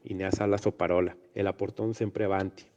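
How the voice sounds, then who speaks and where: A man's voice: low-pitched, level tone, fast, mellow. A man from Mexico with a Mexican Venetian accent, proudly presenting his community in scripted explanatory dialogue. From Chipilo, Mexico. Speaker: guest cartoon character presenting his community. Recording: dull, lo-fi voice recording.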